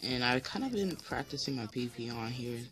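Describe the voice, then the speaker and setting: Deep-voiced teen boy rambling over gameplay. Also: expressive, a little fast, a bit bright, faint music behind. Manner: rambling, many fillers and restarts. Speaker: gaming channel host. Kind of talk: casual gameplay commentary.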